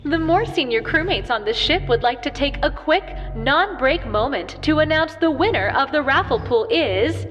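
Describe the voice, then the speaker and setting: An officious, reverberant ship announcer. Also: female voice, high-pitched, animated, moderate pace, hardly any pauses, a bit bright. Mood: falsely cheery. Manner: formal, falsely cheery, condescending. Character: officious, haughty ship announcer reading crew notices. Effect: public address system. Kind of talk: scripted PA announcement, audio comedy. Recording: dull, reverberant PA with faint music.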